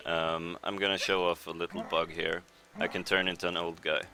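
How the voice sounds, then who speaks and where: A young Swedish man with a Swedish accent commentating his speedrun in a relaxed, rambling way, with many pauses and fillers. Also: sits low. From Sweden. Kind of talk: casual live commentary over gameplay. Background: game music.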